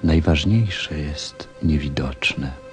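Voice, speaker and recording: A man's voice: extremely low pitch, drawn-out words, music in the background, full character voice. An actor playing a tender, thoughtful pilot in a radio play, a grown man speaking with reflective feeling. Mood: tender, moved. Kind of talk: radio play acting and first-person narration.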